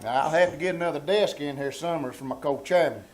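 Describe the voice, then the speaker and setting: A man's voice: on the high side, fast talker, lightly acted. A man playing a bossy, self-important country storekeeper with a Southern US accent in an old-time radio comedy on a live stage. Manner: pompous, rustic phrasing. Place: small-town theater stage before live audience. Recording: live theater stage with background noise.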